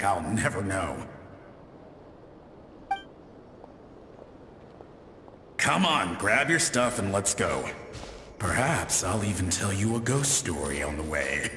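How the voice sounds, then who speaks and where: A man's voice: lowish voice, big pitch swings, unhurried. An actor playing a young male night sentry in a video game, a teasing, folksy storyteller who talks without hurry, in an echoey space with music behind.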